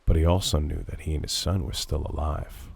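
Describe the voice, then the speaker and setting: A man's voice: very deep voice, fairly quick, gravelly, husky. A man reading a scripted horror story as narrator, with dramatic storytelling delivery. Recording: steady background noise of rain ambience.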